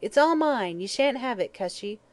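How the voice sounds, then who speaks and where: Woman acting a shrill, wailing dying old hag. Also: animated, normal speed, a little mellow, natural voice. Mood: greedy, frantic. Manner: rough uneducated speech, dropped grammar.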